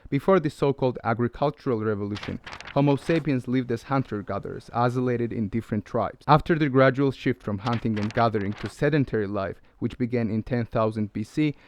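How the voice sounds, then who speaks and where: A man's voice: mid-range voice, a little hiss or hum. Man reading a lecture. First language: Georgian. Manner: measured, lecturing. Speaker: video essay narrator. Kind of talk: scripted narration.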